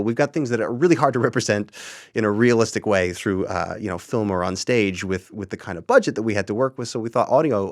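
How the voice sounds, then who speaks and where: A young man with a fairly low voice talks as an interview guest. He explains things in an articulate, conversational way with a wry edge, recorded dry and close. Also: no room sound. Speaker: interview guest, audio drama creator.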